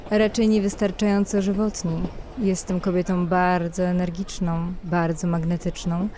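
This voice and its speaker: Mellow, low-voiced flirtatious lady played by an actress. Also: little pitch movement, moderate pace, a little hiss or hum, close to an everyday voice. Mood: coy flirtation. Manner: coy, teasing, playful questioning. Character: flirtatious, elegant, self-assured lady traveler on a train. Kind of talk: Polish audio drama dialogue.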